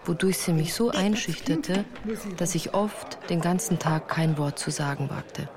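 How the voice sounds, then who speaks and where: An actress playing a grown woman who recounts a harsh childhood in measured, composed radio play narration. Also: deep voice, a loud crowd. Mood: measured, reflective. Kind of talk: literary first-person radio play narration.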